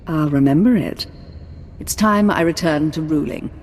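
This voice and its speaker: An actress playing a haughty, seductive female Sith lord in a video game, deliberate and sultry. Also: deep voice, a little hiss or hum, close to an everyday voice. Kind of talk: dramatic video game dialogue. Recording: long reverb, music behind.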